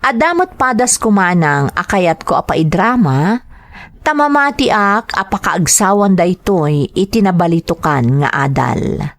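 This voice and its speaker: A woman's voice: fairly low pitch, very animated, measured pace. A woman presenting a radio drama, reading out greetings and a listener's letter in a scripted style.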